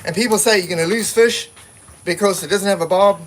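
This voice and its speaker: A man's voice: high voice, fairly quick, fairly bright tone, soft background music. A man giving a casual, practical talk at a seminar, plainspoken and given to repeating himself while he explains. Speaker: seminar presenter.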